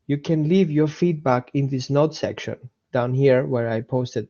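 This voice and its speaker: A man's voice: mid-range voice, unhurried, dark tone, muffled recording. A man with an Austrian accent hosting a casual live dog-training webinar, chatting with viewers, repeating himself and greeting people by name.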